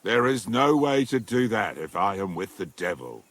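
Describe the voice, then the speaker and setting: A penitent former outlaw in a medieval video game. His low, husky voice is gravelly, with expressive dips and rises. He sounds weary, grave and resigned. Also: moderate pace. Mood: weary, firm. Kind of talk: dramatic video game dialogue.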